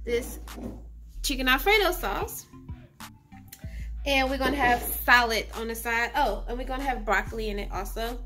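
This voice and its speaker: A woman's voice: on the high side, a bit bright. A woman in her twenties chatting informally to viewers as she cooks in a home kitchen, recorded on a handheld camera. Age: 20s. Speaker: home cooking vlogger. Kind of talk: casual cooking vlog chat. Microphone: handheld camera at arm's length.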